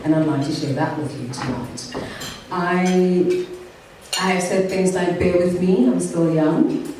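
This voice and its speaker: A South African woman giving a keynote speech at an awards evening, measured, earnest and reflective. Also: lowish voice, noisy background. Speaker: keynote speaker. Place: event venue. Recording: echoey hall from far off.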